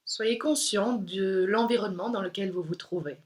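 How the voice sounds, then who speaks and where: A woman yoga instructor leading a guided meditation in a calm, soothing, unhurried way with pauses. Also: medium pitch.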